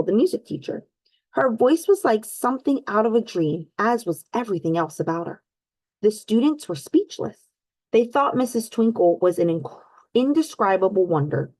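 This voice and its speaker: Expressive woman reading a children's storybook, dry sound. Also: mid-range voice, no echo, poor audio. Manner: expressive, deliberate, addresses listeners as friends. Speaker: read-aloud host for children. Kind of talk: storybook read-aloud with questions to young listeners.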